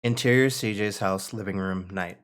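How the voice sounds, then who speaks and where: A man's voice: lowish voice, normal speed, a bit bright. A man reading out the stage directions and narration at a screenplay table read.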